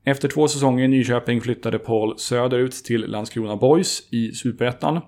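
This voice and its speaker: A man's voice: medium pitch, a little fast, darkish tone. A male podcast host reading a scripted introduction before an interview. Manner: reads a prepared introduction.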